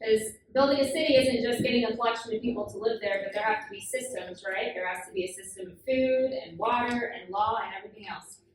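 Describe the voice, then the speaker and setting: A woman leads a children's Bible class, patiently quizzing the kids and filling gaps with "um". Her voice sits slightly high. She is heard from far off in a heavily echoing room with clear background noise. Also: fast talker, hardly any pauses. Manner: patient, questioning, frequent "um" fillers. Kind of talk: classroom teaching with questions to children. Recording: very echoey room, far from the mic, noisy.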